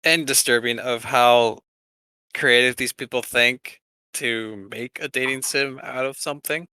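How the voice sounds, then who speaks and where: Bright, clear-voiced man chatting casually. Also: medium pitch, fairly even intonation, measured pace, dry recording. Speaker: podcast co-host. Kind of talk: casual podcast chat about games.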